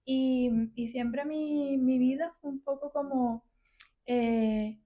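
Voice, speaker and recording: A woman's voice: high-pitched, steady tone, slow pace, muffled recording. A woman in her thirties from Venezuela with a Venezuelan accent, telling stories casually as a podcast guest. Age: 30s. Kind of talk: casual conversational storytelling.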